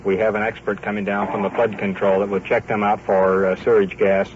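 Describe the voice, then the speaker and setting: Brisk low-voiced American policeman, dull tape. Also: little pitch movement. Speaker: police officer directing a search. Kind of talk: brisk operational orders and radio calls. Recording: dull 1950s field tape, faint noise.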